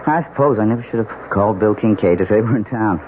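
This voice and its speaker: A man's voice: lowish voice, quick pace, close to an everyday voice. An actor playing a reassuring businessman husband in a radio drama, easygoing and placating. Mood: apologetic, placating. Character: smooth-talking, reassuring new husband, older businessman. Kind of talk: 1970s radio drama acting. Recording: dull, noisy old radio broadcast.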